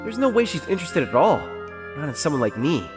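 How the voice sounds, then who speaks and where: A man's voice: fairly high pitch, very animated, quick pace, over music. A man playing an earnest, self-doubting young salesman who secretly feels like a nerd, narrating his own story in a comic dub. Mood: flustered. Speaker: narrator and lead character of a romance comic dub. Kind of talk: first-person dramatised narration.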